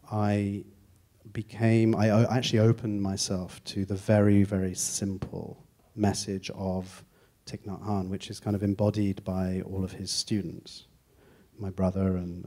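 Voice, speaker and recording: A film director answers a question on a cinema stage through a handheld mic. His voice is low and quite flat, and he speaks thoughtfully, pausing and restarting as he searches for words.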